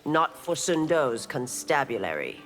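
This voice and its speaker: A woman's voice: very deep voice, very animated, a little slow, soft background music, full character voice. A woman playing a tough chief constable in a fighting game, firm, curt and no-nonsense. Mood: stern. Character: stern, dutiful female chief constable, tough law enforcer. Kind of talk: fighting game dialogue acting.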